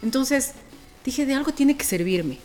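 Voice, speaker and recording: An Ecuadorian woman with a deep, strong voice talks like a radio presenter in a relaxed podcast chat. She tells things colloquially and with animation. Music plays behind her. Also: unhurried. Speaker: radio presenter being interviewed.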